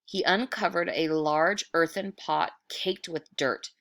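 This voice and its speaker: A woman storyteller with a low, creaky voice reads aloud at an unhurried pace. Her narration is expressive and dramatic, made for children, with certain words drawn out for effect.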